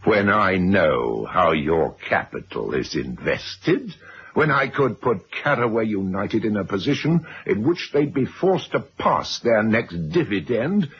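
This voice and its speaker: Radio drama villain: arrogant wealthy husband, low threatening voice, dull vintage recording. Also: male voice, animated, measured pace. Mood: menacing. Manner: haughty, threatening, bullying. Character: domineering, wealthy, contemptuous husband and business magnate. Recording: dull, faintly noisy old radio recording.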